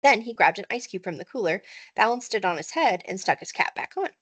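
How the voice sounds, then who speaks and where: Female narrator, teacher-style children's book reading, expressive, very varied intonation. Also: medium pitch, brisk, breathy edge.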